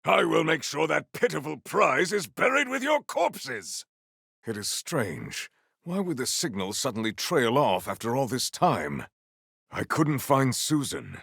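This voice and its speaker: A man voicing a stoic, honourable warrior in a video game, speaking in formal, stately phrases with a gravelly voice. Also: medium pitch, big pitch swings, fairly bright tone, no room sound, studio quality, exaggerated voice. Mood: commanding, fierce. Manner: formal, stately, measured diction.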